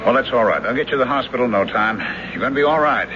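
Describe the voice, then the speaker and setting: An actor playing a helpful, matter-of-fact police lieutenant with a folksy manner in a 1970s radio drama. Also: male voice, mid-range voice, lively intonation, very fast, music in the background, lightly acted. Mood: brisk, reassuring. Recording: muffled, hissy 1970s radio recording.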